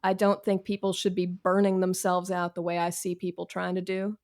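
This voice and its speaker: A woman's voice: fairly low pitch, completely flat delivery, normal speed, a little mellow. Frank woman in her thirties, candid interview. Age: 30s. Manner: frank, self-deprecating, slangy. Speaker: author, podcast guest.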